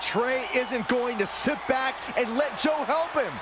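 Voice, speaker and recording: An earnest wrestling commentator in a game. He calls the match excitedly in a very high voice, with a huge crowd roaring behind. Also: measured pace, noisy background.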